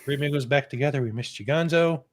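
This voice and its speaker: A man's voice: mid-range voice, fast. A man hosting a live stream, upbeat and welcoming as he reads out viewer messages, while a little under the weather. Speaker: host reading super chats. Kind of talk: casual live-stream chat.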